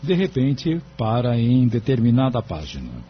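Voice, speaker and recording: A man's voice: mid-range voice, wildly swooping pitch, a little slow. A man reading scripted narration for an audio drama. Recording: dull recording with faint background noise.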